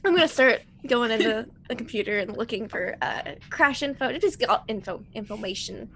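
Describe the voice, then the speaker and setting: A woman's voice: fairly high pitch, expressive, moderate pace, a bit bright, a little hiss or hum. A woman improvising in-character dialogue as a player in a tabletop roleplaying game, speaking in a tentative way.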